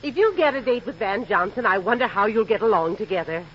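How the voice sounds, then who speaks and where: A woman's voice: medium pitch, animated, quick pace, natural voice. A woman playing a matronly, advice-giving aunt in a 1940s radio comedy. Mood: instructive. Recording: muffled, faintly noisy 1940s radio recording.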